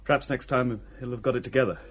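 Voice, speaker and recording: A man's voice: mid-range voice, fairly even intonation, fast talker, fairly bright tone, slightly rough. An actor playing a curious, practical young man in a family radio play. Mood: wondering. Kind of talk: naturalistic radio drama acting.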